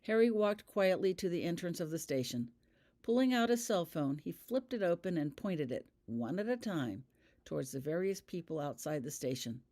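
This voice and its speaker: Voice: mid-range voice, sing-song, moderate pace, a little mellow. Storyteller reading fiction aloud, mid-range voice. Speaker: author reading own fan fiction. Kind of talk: scripted audiobook narration.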